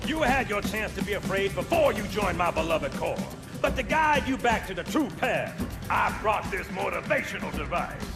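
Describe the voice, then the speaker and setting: A man's voice: sits high, big pitch swings, normal speed, gravelly, heavily acted. A man playing a brash, wisecracking veteran Marine sergeant who barks at his troops. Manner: brash, swaggering, barking at troops. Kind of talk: video game cutscene acting. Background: loud orchestral music.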